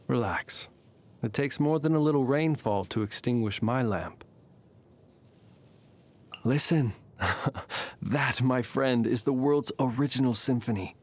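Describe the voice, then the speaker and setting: A man voicing a courteous, centuries-old fae night watchman in a video game, speaking formally and eloquently in a measured, quietly teasing way. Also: medium pitch, natural voice. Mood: calm, musing. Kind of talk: scripted video game character voice lines. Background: background music.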